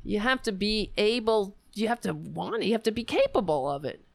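A woman hosting a solo political show, ranting sarcastically and going hoarse as she loses her voice. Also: medium pitch, sing-song, fairly quick, no echo. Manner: ranting, sarcastic, scattered asides. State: losing her voice. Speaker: political podcast host.

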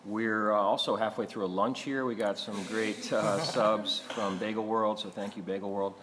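A man hosting a casual podcast interview in a halting, hesitant way. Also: fairly low pitch, little pitch movement, a bit bright, slight background noise. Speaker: podcast host. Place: artist's studio.

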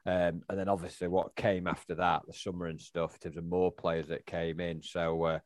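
Low-voiced bloke, middle-aged, rambles and restarts a lot, dry close sound. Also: male voice, little pitch movement, moderate pace, darkish tone, no echo. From England. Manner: rambling, hesitant with many restarts and fillers. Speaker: podcast co-host. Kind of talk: casual chat.

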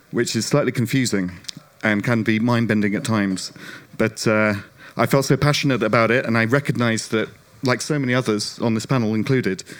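A man from the UK speaks on a conference panel, his voice slightly croaky yet smooth and clear beneath it. He tells his story with self-deprecating humor and animated storytelling. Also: medium pitch. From United Kingdom. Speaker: panelist, non-profit director. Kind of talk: panel discussion.

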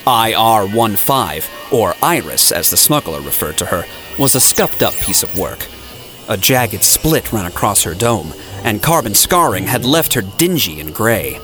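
Low-pitched male story narrator over music. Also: very animated, measured pace. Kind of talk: scripted dramatic story narration. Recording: music playing behind the voice.

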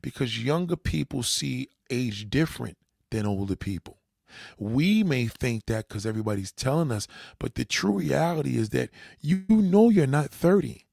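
A man with a gravelly, fry-edged voice at an ordinary pitch lectures bluntly, swearing now and then. He keeps checking that the listener understands. The sound is dry and close. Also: expressive, normal speed, a little mellow, dry recording. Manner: lecturing, repeats "you understand?". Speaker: dating advice commentator. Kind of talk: reaction commentary.

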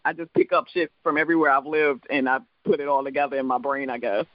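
A comedian from the Virgin Islands with a mixed island, Midwestern and New York accent, chatting loosely in an interview, rambling, laughing and swearing casually. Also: male voice, medium pitch, level tone, quick pace, no echo, dull audio. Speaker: comedian and show producer.

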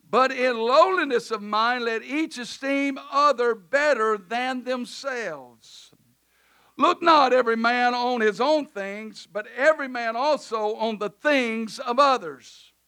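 A preacher with a loud, high-pitched voice that cuts bright and sharp through the sanctuary. He swings from fervent shouting down to soft, offhand remarks. His is easy to hear in his casual phrasing. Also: takes it slowly, clean tone. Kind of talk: sermon in church service. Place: church sanctuary.

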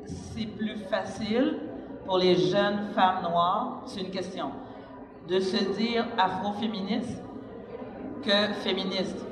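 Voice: medium pitch, fairly even intonation, slow pace. A man putting a spontaneous question to a panel, phrase by phrase. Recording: echoey hall, crowd noise.

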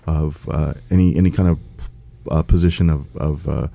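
A man's voice: a bass voice, steady tone, moderate pace, vocal fry, warm tone. A middle-aged man from the United States, a political candidate speaking earnestly in a conversational interview, with many fillers and restarts.

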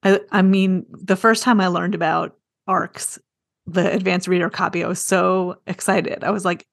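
A woman's voice: fairly low pitch, steady tone, normal speed, gravelly, no echo, clean studio sound. An American woman hosting a casual podcast interview in a chatty, enthusiastic way. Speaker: podcast host.

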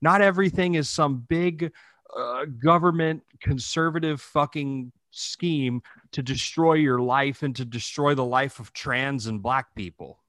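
A man's voice: medium pitch, unhurried, a bit bright, dry recording. A man co-hosting a casual podcast, giving blunt opinions in an emphatic, profane way. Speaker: podcast co-host.

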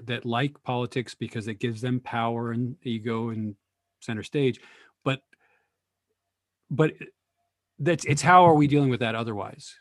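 A man's voice: mid-range voice, no echo, clean studio sound. A man moderating a discussion over a video call, asking long, earnest questions that wander before they land. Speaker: panel moderator.

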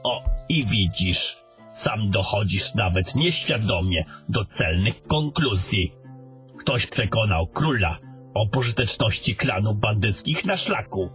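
Man acting as a low-voiced, measured cynical old wizard. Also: a bit bright. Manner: measured, lecturing. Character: older male wizard, worldly, cynical, commanding mentor. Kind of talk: fantasy audio drama acting. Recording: music under the voice.

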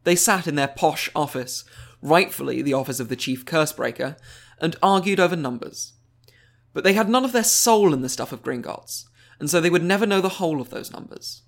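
A male narrator reads a fiction audiobook. His voice sits a little high for a man. The delivery is scripted storytelling. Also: expressive, fairly quick, creaky.

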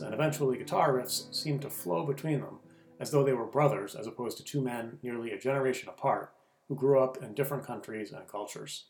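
A man hosting a podcast, reading a measured, descriptive introduction and then interviewing a guest at a busy festival. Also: mid-range voice. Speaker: podcast host. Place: busy festival venue, stone hall. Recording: roomy, with music behind.

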